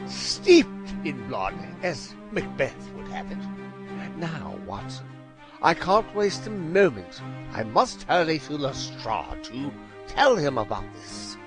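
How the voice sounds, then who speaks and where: A man's voice: fairly high pitch, very animated, slow pace, music in the background. A man playing an eccentric, cowardly, bumbling detective in a comic audio drama, theatrical and melodramatic. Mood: airy, preoccupied.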